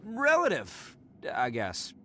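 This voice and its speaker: A man playing a boastful young oni hero in a video game, with a cocky, theatrical, expressive delivery. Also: fairly high pitch, slow, exaggerated voice. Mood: earnest, cocky. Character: boastful young male oni hero with swaggering big-brother bravado.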